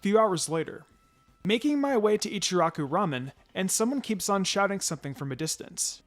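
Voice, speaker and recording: A man with a fairly high voice narrates a first-person tale in a dramatized way. His pitch moves around a great deal, and music plays behind him. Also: expressive, a little fast. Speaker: fan fiction reader. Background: background music.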